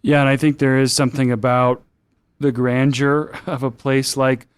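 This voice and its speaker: Podcast host guy, smooth voice, dry close mic, asks thoughtful questions. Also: mid-range voice, level tone, moderate pace, dry recording. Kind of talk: interview questions.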